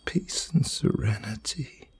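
A man in his fifties giving a soothing, breathy whispered ASMR relaxation as a reiki healer, gentle and unhurried. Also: on the low side, expressive. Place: room at home.

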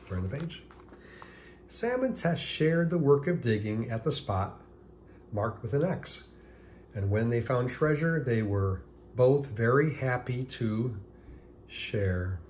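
A man reading a children's picture book aloud as scripted narration, deliberately, with pauses before key words. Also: medium pitch, expressive, darkish tone, a little hiss or hum.

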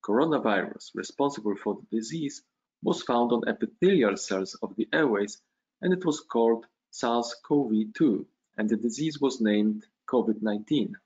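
A man, a physician giving a measured conference lecture in English over a video call, pausing on fillers. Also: medium pitch, animated, darkish tone, slightly rough. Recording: dull lo-fi video call audio.

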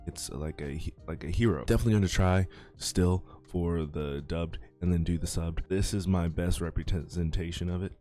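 A man's voice: low voice, normal speed, dark tone. A man, a YouTuber and aspiring voice actor, rambling casually while playing a game. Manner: rambling, chatty, self-deprecating. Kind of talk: casual gameplay commentary.

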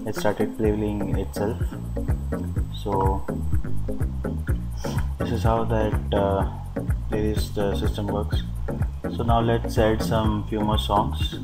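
A man with a fairly low voice walks through a piece of software step by step. His delivery is very flat and somewhat halting, as if explaining unscripted. Music plays clearly behind him throughout. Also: rough recording. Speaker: software demonstrator. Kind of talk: unscripted screen-recorded software walkthrough.

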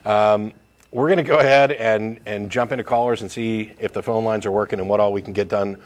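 A man hosts a live call-in show from a TV studio desk, his voice sitting a little low. He reasons aloud in a measured way, circling back and repeating words as he builds a point. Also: steady tone. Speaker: talk show host.

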